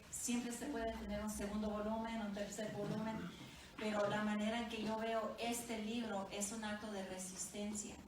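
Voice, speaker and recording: Woman therapist, hesitant speaker, picked up by a distant mic in an echoey hall with steady noise. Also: medium pitch. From Honduras, raised in the United States. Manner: hesitant, searching for words with fillers. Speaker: family therapist and researcher. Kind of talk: book presentation remarks.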